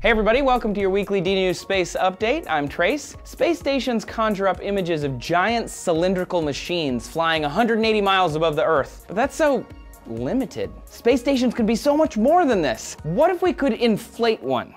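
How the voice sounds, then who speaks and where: A woman's voice: mid-range voice, sing-song, moderate pace. A woman hosting a scripted space news explainer in a conversational, emphatic way. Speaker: host of a weekly space news show. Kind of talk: upbeat scripted web explainer. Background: faint music.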